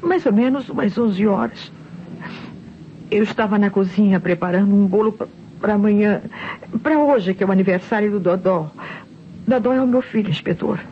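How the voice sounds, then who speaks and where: An actress playing a distressed Brazilian widow and mother testifying in an old radio mystery, in a dramatic, halting manner. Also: medium pitch, natural voice. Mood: shaken. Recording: muffled, noisy old radio recording.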